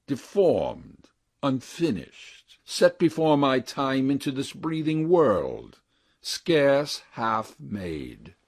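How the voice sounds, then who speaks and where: A man playing a bitter, deformed, plotting villain in a deliberate, declamatory Shakespearean monologue. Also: medium pitch, no room sound, clean studio sound, exaggerated voice.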